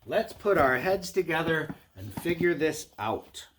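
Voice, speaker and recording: A man's voice: on the high side, lively intonation, measured pace, a bit bright, voice pushed up. A man voicing a big, strong, fearless billy goat leader for a storybook, confident and resolute. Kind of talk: storybook read-aloud. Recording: clear background noise.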